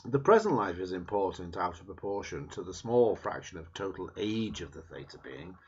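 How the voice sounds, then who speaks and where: Male audiobook-style reader, husky voice, animated intonation, emphatic pauses; dull lo-fi recording. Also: on the low side. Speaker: reader of a book chapter. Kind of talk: scripted read-aloud narration, audiobook style.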